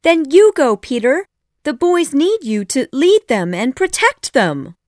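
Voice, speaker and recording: Woman doing high, earnest young Wendy. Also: sing-song, unhurried, darkish tone, no echo, studio quality. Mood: pleading, selfless. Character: Wendy, gentle earnest young storybook girl. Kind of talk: storybook character voice.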